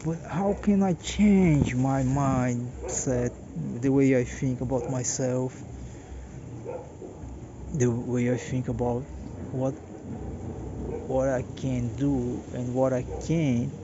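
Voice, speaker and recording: A Brazilian man in his late thirties speaks English with a Portuguese accent, tired and hesitant, often repeating himself as he searches for words. His delivery is very slow. The recording is dull and lo-fi, with clear background noise. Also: medium pitch, steady tone. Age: 30s. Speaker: vlogger. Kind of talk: personal monologue.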